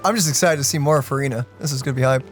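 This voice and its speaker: A co-host giving casual, slangy, excited commentary on a video game. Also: mid-range voice, racing, faint music behind. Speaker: let's play co-host.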